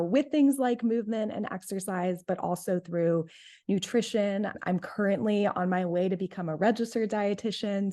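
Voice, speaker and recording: A woman's voice: fairly low pitch, darkish tone. A woman in her late twenties from North Carolina, a diabetes health coach, chatting casually as an interview guest, laughing often and filling with "like". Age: 20s.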